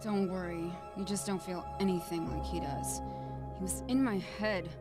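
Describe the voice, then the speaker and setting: A woman's voice: lowish voice, steady tone, moderate pace, music in the background, close to an everyday voice. An actress playing a troubled, vulnerable young woman in a video game cutscene. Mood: relieved, shaken. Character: dazed, lovesick young woman under dark influence, then shaken.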